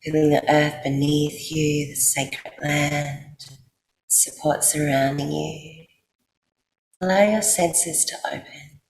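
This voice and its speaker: Very slow, low-voiced Australian woman guiding meditation. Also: fairly even intonation, darkish tone. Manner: soothing, unhurried, with long pauses. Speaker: yoga nidra guide. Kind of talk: guided meditation for sleep. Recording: roomy echo, faint background noise.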